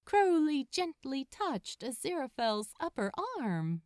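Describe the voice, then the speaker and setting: A woman reading fan fiction aloud as an expressive story narrator. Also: high-pitched, measured pace, no room sound, studio quality.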